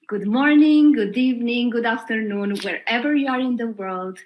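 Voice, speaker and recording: A woman hosting a live webinar, welcoming the audience in an upbeat, deliberate way. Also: medium pitch, slight background noise, rough recording. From Romania. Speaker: webinar host.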